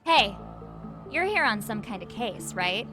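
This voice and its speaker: A woman's voice: mid-range voice, very animated, measured pace, over music. A woman voicing a cocky teenage schoolboy in a video game dub, wheedling and slangy with mock politeness. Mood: sly, casual. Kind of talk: video game cutscene English dub acting.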